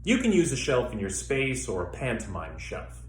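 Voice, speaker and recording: A man working as a theater educator, guiding listeners through an exercise with measured, step-by-step instructions and pauses. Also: medium pitch, wildly swooping pitch. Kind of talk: instructional demonstration, guided exercise. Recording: echoey room with faint background noise.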